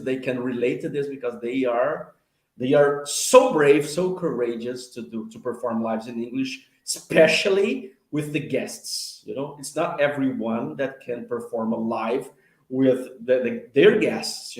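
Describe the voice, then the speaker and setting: A man's voice: medium pitch, echoey room. A man, speaking English as a while hosting a casual live-stream chat, full of fillers and self-deprecating asides. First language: Portuguese. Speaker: host. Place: home room with headphones, video call.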